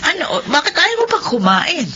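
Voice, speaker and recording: A woman's voice: high-pitched, big pitch swings, normal speed. A woman with a Filipino accent playing a fussing, worried mother in a radio drama. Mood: alarmed. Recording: muffled lo-fi radio audio, clattering noise.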